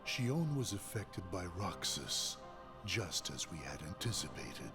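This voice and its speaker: An actor playing the cold, calculating leader of a secret organization in a video game, speaking in a formal, commanding way. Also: male voice, low voice, very animated, measured pace, full character voice. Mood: cool, calculating. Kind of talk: scripted video game cutscene acting. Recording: game cutscene audio with music.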